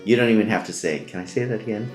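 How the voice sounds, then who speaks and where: A middle-aged man hosting a casual interview, relaxed and joking. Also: fairly low pitch, very fast. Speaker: host. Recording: roomy echo, faint music behind.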